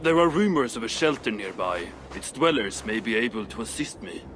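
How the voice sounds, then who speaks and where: A man's voice: lowish voice, lively intonation, fairly quick, a bit bright, slight background noise. A man playing a proud, boastful dwarf craftsman in a video game cutscene, blunt and self-important. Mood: confident, boastful.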